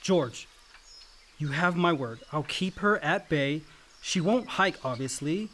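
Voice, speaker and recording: A man's voice: a little high, expressive, slow pace, a bit bright, a little hiss or hum. A man acting an earnest, dutiful young adult son, the family peacemaker, in a naturalistic radio play. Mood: firm, reassuring.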